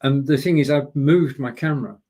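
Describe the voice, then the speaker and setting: A man's voice: medium pitch, brisk, warm tone. A man speaking as a guest expert on a live show from his home broadcasting studio, giving direct, coaching advice to viewers. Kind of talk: instructive live-stream talk.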